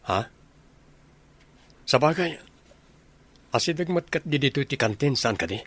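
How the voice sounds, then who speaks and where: An actor playing a patient, reassuring young soldier with a Filipino accent in a radio drama. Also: male voice, on the high side, animated, a little slow, a bit bright. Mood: matter-of-fact.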